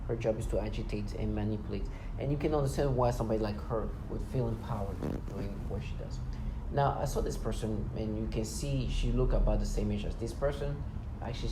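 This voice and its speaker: A man's voice: fairly low pitch, noisy background. A man in his thirties talking at length in an unscripted monologue, insistent and repetitive, often restarting his sentences. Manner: insistent, repeats himself, many restarts. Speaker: vlogger.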